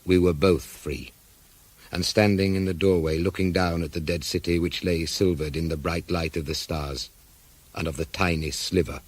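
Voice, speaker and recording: Drama narrator: weary hero recounting an escape, low husky voice, measured first-person storytelling. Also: male voice, steady tone, no echo. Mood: measured, grave.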